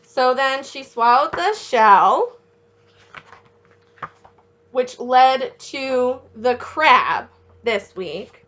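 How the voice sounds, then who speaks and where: A woman reading a picture book aloud as a children's teacher, encouraging young listeners with questions and pausing for their answers. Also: high-pitched, expressive, a bit bright, dull audio.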